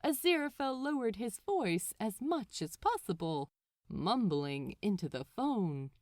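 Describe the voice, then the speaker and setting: A woman narrating a story from a script in an expressive audiobook style. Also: mid-range voice, unhurried, dry recording, studio quality.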